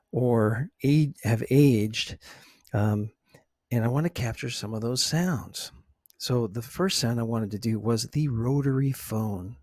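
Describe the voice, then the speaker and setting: A middle-aged man talking softly and unhurriedly in a relaxed, reflective ASMR chat, with a slightly husky voice. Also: medium pitch, no room sound, clean studio sound. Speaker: ASMR video host.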